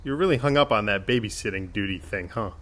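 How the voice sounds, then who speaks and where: Man playing an easygoing guard, lower-pitched. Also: quick pace, a bit bright, lightly acted. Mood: teasing, reassuring. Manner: casual, reassuring. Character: easygoing young male guard, placating but firm. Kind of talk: audio drama acting.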